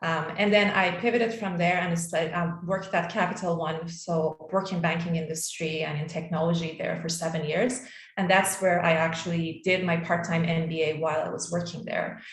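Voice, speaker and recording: A woman's voice: on the low side, fairly even intonation, normal speed. A woman speaking informally as a guest on an online panel, introducing her professional background. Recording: roomy, echoey call audio.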